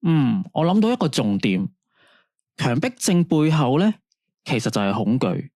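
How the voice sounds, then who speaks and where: A man's voice: mid-range voice, very animated, moderate pace, a little mellow, dry recording, studio quality, natural voice. A man playing a soothing late-night radio host. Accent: Hong Kong Cantonese. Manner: soothing, patient, counselling tone. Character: gentle male late-night radio host, counselling a caller. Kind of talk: radio drama acting.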